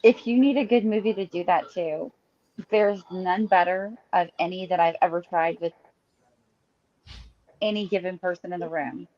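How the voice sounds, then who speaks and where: Female podcast co-host, slightly low voice, chatty, lo-fi sound. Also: normal speed, pauses often. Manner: chatty, laughs easily, suggests ideas. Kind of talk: casual podcast chat. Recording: dull lo-fi mic.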